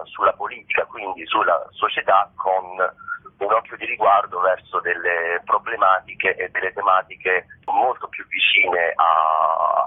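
A man with a low, husky voice answers as an interview guest. He talks off the cuff, hesitating and searching for words, and comes through a narrow telephone line. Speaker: theatre director, interview guest. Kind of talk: spontaneous phone interview. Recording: narrow, muffled phone line.

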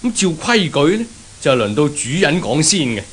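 A man's voice: a little high, big pitch swings, normal speed, breathy edge. An actor playing a jovial, talkative, flirtatious Englishman in a 1970s Cantonese radio drama. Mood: chivvying. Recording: hissy, noisy 1970s radio recording.

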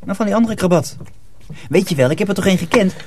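An actor playing a small, naive fourteen-year-old apprentice boy, eager and childlike, with a husky voice in a radio drama. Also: sits high, animated, fast talker, noisy background. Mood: curious, insistent.

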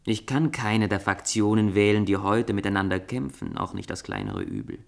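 A man's voice: fairly low pitch, sing-song, moderate pace, vocal fry. An actor in a German radio play playing an earnest, disillusioned intellectual who argues his case stubbornly. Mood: resigned.

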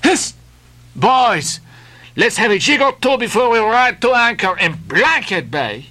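A man voicing a lively male sailor who eagerly urges the crew to dance. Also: extremely high pitch, a little slow, voice pushed up, heavily acted. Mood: excited. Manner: excited, urging and cheering.

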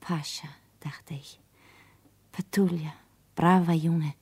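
A woman remembering her youth many years on, in a radio drama. Her voice is lowish, dark and husky. She tells it earnestly and intimately. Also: steady tone, takes it slowly, heavily acted. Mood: sorrowful. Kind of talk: literary radio play recollection.

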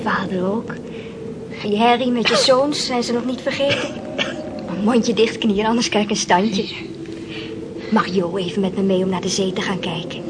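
A woman playing a well-off young lady in a radio play, brisk, bossy and chatty but kindly. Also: medium pitch, lightly acted. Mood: friendly, chatty. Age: young adult. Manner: chatty, chiding. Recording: noisy old radio play recording.

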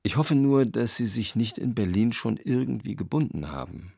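An earnest, hopeful letter writer. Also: male voice, medium pitch, animated, normal speed, creaky, dark tone, husky, no room sound, studio quality. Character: devoted letter writer hoping for a reunion. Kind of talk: broadcast documentary reading.

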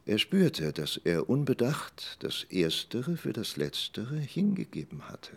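A man narrating a literary radio drama in a measured, deliberate storytelling manner. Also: on the low side, wildly swooping pitch, vocal fry.

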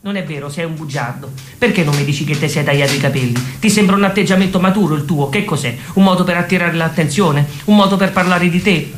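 Guy putting on a naggy roman girlfriend, talks super fast, echoey live comedy stage. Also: on the high side, a little hiss or hum, lightly acted. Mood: accusing. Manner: insistent, accusing, repetitive. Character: nagging, stubborn, self-absorbed girlfriend. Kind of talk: live comic stage sketch.

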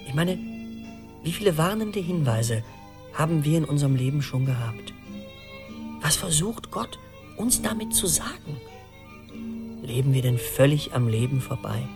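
An actor in a radio play voicing an anxious middle-aged man who tells his story in an urgent, animated, rambling conversational monologue. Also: a little high, normal speed, pauses often, over music. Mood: earnest, urgent. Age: 40s.

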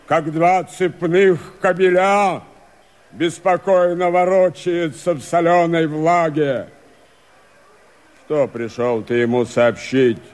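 Verse radio drama: distrustful camp sentry, high-pitched, deliberate and challenging, very slow delivery. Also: male voice, darkish tone. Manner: probing, deliberate theatrical questioning. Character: suspicious rebel camp sentry challenging a stranger.

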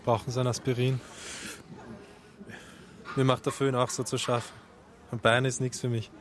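Film assistant, male, acted, radio drama: medium-pitched, evasive, grumbling, laconic delivery. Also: flat delivery, normal speed, natural voice. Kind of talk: German radio drama acting.

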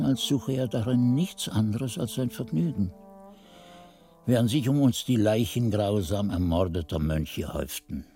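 An older male actor playing an aged monk who narrates memories of his youth in a measured, literary radio play style. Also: mid-range voice, dark tone, lightly acted. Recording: 1980s radio play with faint music.

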